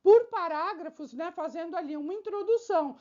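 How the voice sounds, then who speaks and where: A woman's voice: very high-pitched, a little fast, a little mellow, dull audio. A woman teacher giving an exam-prep video lesson, explaining step by step with examples and frequent check-in questions to the viewer.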